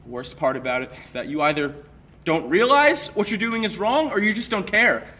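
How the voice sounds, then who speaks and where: A young man on stage playing a bitter, reproachful college student who confronts a former friend in an accusatory monologue with deliberate pauses. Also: mid-range voice, lively intonation, fairly bright tone, natural voice. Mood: angry, scornful. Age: young adult. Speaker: stage actor. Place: indoor performance space, picked up from far off. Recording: roomy, distant live stage recording, faint noise.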